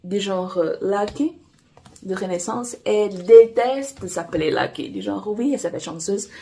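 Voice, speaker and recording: A woman romance author whose first language is Portuguese, chatting casually to camera in a halting way with many fillers and restarts. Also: mid-range voice, warm tone. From Angola. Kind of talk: casual vlog chat.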